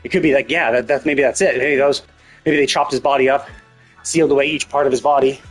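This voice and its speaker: A man's voice: mid-range voice, fairly even intonation, quick pace, faint music behind, low-quality recording. A man livestreaming a game, thinking aloud in a casual, rambling, hesitant way with some swearing. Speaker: live game streamer.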